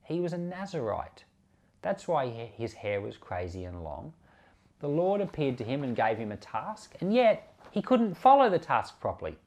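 A man's voice: mid-range voice, big pitch swings, moderate pace, a little mellow. A man giving an informal Bible commentary as a pastor, conversational and emphatic, explaining things with examples. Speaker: pastor and Bible podcast host.

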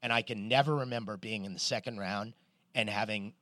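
A middle-aged man co-hosting a podcast, telling stories in an animated, swearing, casual way. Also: on the low side, brisk, no room sound, clean studio sound. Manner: animated storyteller, swears, restarts a lot. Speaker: podcast co-host. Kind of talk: casual sports chat.

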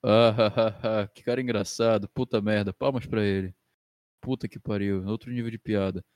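Low-toned young Brazilian man, dry sound. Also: steady tone, normal speed, darkish tone, no echo. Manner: foul-mouthed, slangy. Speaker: podcast host. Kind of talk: casual chat with friends.

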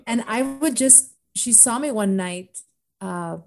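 A woman's voice: on the high side, slow pace, vocal fry. A woman with an international, Spanish-influenced accent, talking casually as a guest in an interview. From grew up in the United States, Bolivia and Cuba. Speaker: podcast guest.

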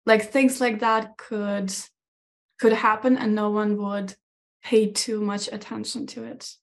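A woman's voice: medium pitch, flat delivery, takes it slowly, creaky. A woman from Ukraine in her thirties, a native Ukrainian speaker, talking thoughtfully as an interview guest and often restarting her sentences. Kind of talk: conversational interview.

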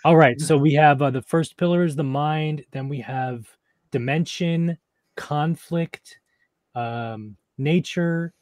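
A man co-hosting a casual chat, reading names from his notes with many pauses and fillers. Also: fairly high pitch, darkish tone, no room sound. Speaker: podcast co-host.

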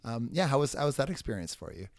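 A man hosting an interview, speaking haltingly with many repeated words and restarts. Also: mid-range voice, very animated, no echo. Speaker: interview host. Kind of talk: casual conversational interview.

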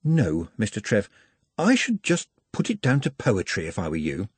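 A man's voice: on the low side, huge pitch swings, normal speed, breathy edge, no echo, exaggerated voice. A man voicing a polite, nervous young man who speaks very formally, in an audiobook reading. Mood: deferential. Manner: formal, deferential.

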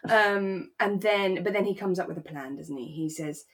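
A woman co-hosting a relaxed podcast chat about a radio drama, telling things in an animated, dramatic way. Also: on the low side, moderate pace, husky. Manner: animated, dramatic storytelling with acted-out asides. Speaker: podcast co-host; actor.

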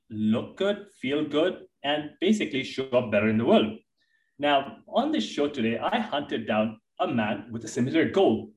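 A man hosting an interview podcast, speaking with enthusiasm in long, winding questions. His first language is Hindi. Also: mid-range voice. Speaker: podcast host. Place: Dubai. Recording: roomy echo, distant from mic.

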